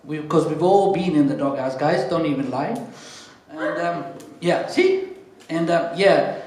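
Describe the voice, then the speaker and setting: A man with a South African accent compering a stand-up comedy night, dropping deadpan one-liners and chatting to the crowd from the stage. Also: a little high, unhurried, slight background noise. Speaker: comedy show MC. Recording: very echoey room.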